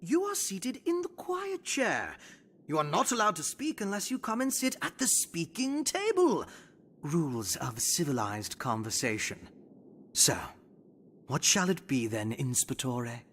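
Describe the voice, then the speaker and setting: An actor voicing a sly, glib broker for an assassins' guild in a video game, teasing and theatrical. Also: male voice, on the high side, big pitch swings, unhurried, fairly bright tone, a little hiss or hum, heavily acted. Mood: mocking, commanding. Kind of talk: dramatic video game voice acting.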